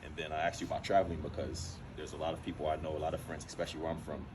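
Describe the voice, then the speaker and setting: A man's voice: rumbling low, flat delivery, rapid-fire, background noise. A man from Miami interviewing a guest in a casual, conversational way, often saying "you know".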